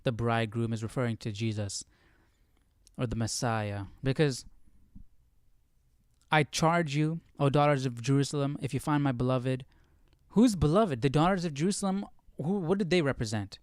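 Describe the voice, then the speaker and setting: A man hosting a live Bible study, reading and commenting casually in a musing, questioning, halting way. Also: mid-range voice, darkish tone, no echo, studio quality.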